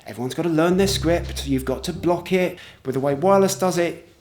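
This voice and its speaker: A man's voice: fairly high pitch, brisk. A man explaining how things are done, in informal interview talk. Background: instrumental music.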